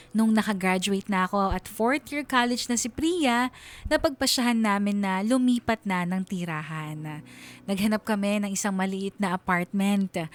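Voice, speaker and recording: A woman reading a scripted first-person story as a radio narrator. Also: medium pitch. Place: radio studio. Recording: radio studio narration over music.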